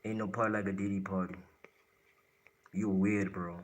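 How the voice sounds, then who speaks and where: Brash low-voiced streamer man. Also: little pitch movement, moderate pace. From South Africa. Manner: blunt, brash, swears and trash-talks chat. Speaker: livestreamer. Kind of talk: casual livestream chat answering viewer comments.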